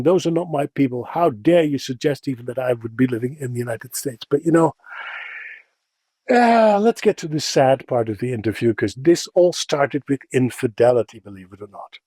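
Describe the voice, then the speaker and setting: A middle-aged man from the Netherlands with a Dutch accent, a Dutch native speaker, telling stories in a casual, expressive interview. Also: mid-range voice, moderate pace, no echo. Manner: expressive, animated, self-deprecating humor. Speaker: interview guest. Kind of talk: casual conversational storytelling.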